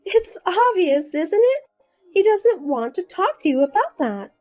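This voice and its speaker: A boastful, scheming younger sister, as a woman puts her on speaks in a high, lilting voice that swoops up and down. She teases and gloats in a sing-song way. Soft music plays underneath. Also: sing-song, measured pace, full character voice. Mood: smug.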